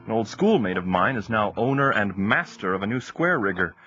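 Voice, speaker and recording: Bright-voiced earnest young seaman. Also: lowish voice, sing-song, a little fast, dull audio. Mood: earnest, tender. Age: young adult. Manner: earnest, courteous, slightly formal. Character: young seaman in 1810s, earnest, courteous, fond suitor. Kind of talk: audio drama acting.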